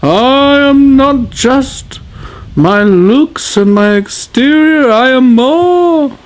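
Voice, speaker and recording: A narrator voicing a pompous, self-assured king who speaks in a calm, grand, drawling way. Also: sits high, extremely slow, voice pushed up, heavily acted. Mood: grandiose.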